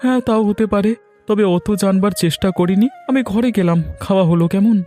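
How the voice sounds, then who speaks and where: A man's voice: high-pitched, brisk, dark tone, faint music behind, natural voice. A man voicing a mild, resigned village farmer and husband in a cartoon story, speaking plaintively and conversationally. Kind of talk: Bengali cartoon story voice acting.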